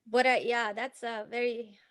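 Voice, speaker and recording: A woman who grew up in Afghanistan, a healer guest in an interview, talking earnestly with many pauses, fillers and restarts. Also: on the high side, little pitch movement, no room sound. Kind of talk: casual interview conversation.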